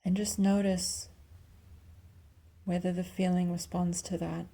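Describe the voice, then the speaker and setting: A woman leading a guided meditation softly and calmly, with long pauses and a breathy, near-whispered delivery. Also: fairly low pitch. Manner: soft, calm, unhurried instruction with long pauses. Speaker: clinical psychologist guiding a meditation.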